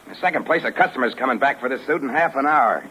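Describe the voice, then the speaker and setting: An actor playing a guarded, evasive shopkeeper with matter-of-fact delivery in a 1950s radio crime drama. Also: male voice, mid-range voice, animated, quick pace, lo-fi sound, natural voice. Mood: irritated. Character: guarded, evasive tailor shopkeeper dealing on the side. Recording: very noisy old radio recording with clicks.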